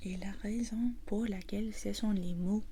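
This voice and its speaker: A woman, a native English speaker with an English-speaking accent, giving a mostly whispered ASMR language lesson, explaining gently step by step. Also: medium pitch, fairly even intonation, moderate pace, slight background noise. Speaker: language teacher in an ASMR video.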